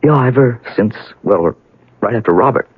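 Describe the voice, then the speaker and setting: An actor playing a grieving, wry and bitter heir to a wealthy house in a 1970s radio drama, speaking haltingly. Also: male voice, lowish voice, lively intonation, husky, no echo. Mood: bitter, frank. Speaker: actor in a radio mystery play. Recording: narrowband old radio broadcast, dry.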